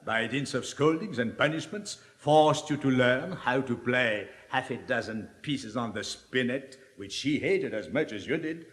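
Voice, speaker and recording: Man playing suave cynical libertine, lively swinging intonation, declamatory 1950s stage drama, long hall reverb. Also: mid-range voice, natural voice. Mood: mocking. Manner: rhetorical, deliberate, declamatory. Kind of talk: 1950s theatrical dramatic reading.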